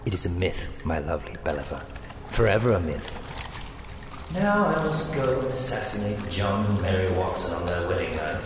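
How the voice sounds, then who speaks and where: A man's voice: sits low, sing-song, normal speed, background noise. An actor playing a menacing, amorous male villain in an audio drama, speaking in a theatrical, taunting and seductive way. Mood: taunting menace. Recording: long cavernous reverb with music underneath. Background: dramatic music.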